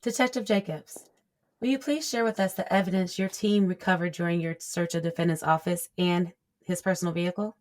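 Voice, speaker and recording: A woman acts as a tough female prosecutor in a courtroom drama. Her voice sits a little low. She is cool and formal, measuring out each question. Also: fairly even intonation, brisk, a little mellow, natural voice. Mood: cool, probing. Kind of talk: courtroom audio drama acting.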